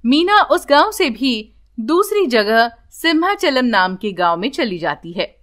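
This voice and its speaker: A woman's voice: fairly high pitch, big pitch swings, unhurried, fairly bright tone. A woman narrating a scripted bedtime story for children as a storyteller.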